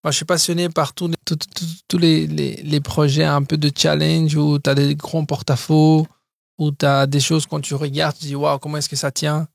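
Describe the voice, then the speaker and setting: A man's voice: fairly high pitch, level tone, moderate pace, creaky, no echo, studio quality. A man in his thirties, originally from Brazil, telling his story as a guest in a casual podcast interview, with frequent hesitations and restarts. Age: 30s. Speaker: guest entrepreneur.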